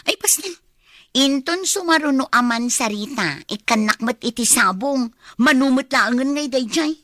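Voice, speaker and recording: A sulky, wistful young girlfriend in a radio drama. Her fairly high voice has an Ilocano accent and a dry, close sound, demanding and envious in manner. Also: animated, moderate pace, sharp tone, no echo. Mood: demanding, pouting. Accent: Filipino, Ilocano.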